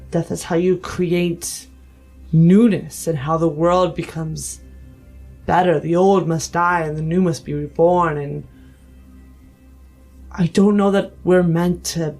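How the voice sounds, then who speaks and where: A player voicing a thoughtful, principled and cautious figure in a tabletop role-play, with a measured, formal, halting delivery. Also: medium pitch. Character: thoughtful, principled, cautious voter facing a politician.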